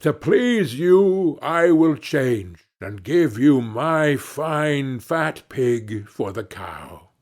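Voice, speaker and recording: A man voicing a sly, hearty village butcher in a storybook, speaking in a deliberate, folksy way. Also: medium pitch, very animated, exaggerated voice. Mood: falsely generous.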